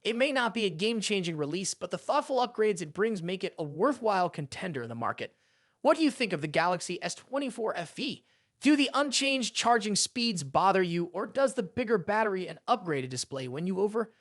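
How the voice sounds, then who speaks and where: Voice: medium pitch, sing-song, fairly quick, a bit bright, dry recording, clean studio sound. A tech review presenter reading a scripted narration in a polished explainer style.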